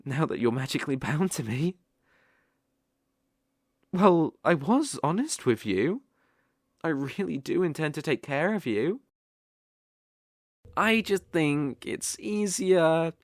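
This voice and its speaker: A man's voice: on the high side, creaky, no echo, clean studio sound, heavily acted. A British man playing a sly, possessive, lovestruck fox-spirit mage in an ASMR audio roleplay, coaxing and easily flustered. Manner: coaxing, slow, with eager flustered outbursts.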